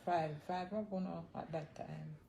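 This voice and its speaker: A woman's voice: on the low side, fairly even intonation, measured pace, gravelly, mellow, slight background noise. A middle-aged woman with a Jamaican accent, reminiscing casually about family life and repeating her words emphatically to hold the floor. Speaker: mother of the host, joining the story.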